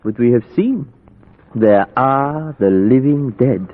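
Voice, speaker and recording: An actor playing a grave, learned older vampire hunter, speaking in solemn, measured foreign phrasing in 1940s radio horror style. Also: male voice, medium pitch, lively intonation. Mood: grave, ominous. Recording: muffled echoey 1940s radio recording, music.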